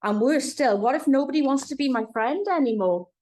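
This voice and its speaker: A woman's voice: high voice, lively intonation, fairly quick, a little mellow, voice pushed up. A woman voicing an anxious, polite young schoolgirl in a children's storybook, worried and hesitant. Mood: anxious, pleading.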